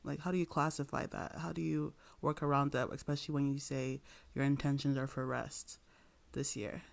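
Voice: mid-range voice, level tone, moderate pace, vocal fry. A young podcast co-host from Nigeria, still in school, asking questions in a friendly way and searching for words. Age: young adult. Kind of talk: casual interview chat.

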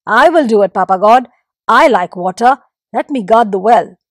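A woman voicing a boastful male lizard who bosses others from his post as well guard, loud and commanding. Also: on the high side, expressive, normal speed, no echo, clean studio sound. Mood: eager.

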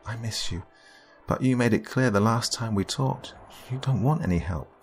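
A man's voice: mid-range voice, moderate pace, faint music behind, pitched above the performer's own voice, lightly acted. A man voicing an angry, caring young woman who is blunt and pleading in an audio story.

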